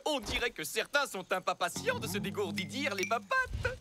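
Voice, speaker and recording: A silly, theatrical cartoon pet owner babbles in a high voice. The pitch leaps around in exclamations, with loud music underneath. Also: male voice, huge pitch swings, normal speed. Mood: exuberant. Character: silly, cheerful, easily worn-out cartoon husband and pet owner. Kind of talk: animated children's cartoon acting.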